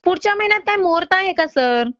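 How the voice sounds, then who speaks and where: A woman's voice: very high voice, animated, fast talker, a bit bright, no room sound. A woman making a loan recovery call in an insistent, polite but exasperated manner. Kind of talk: phone collection call. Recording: slightly dull phone-call audio.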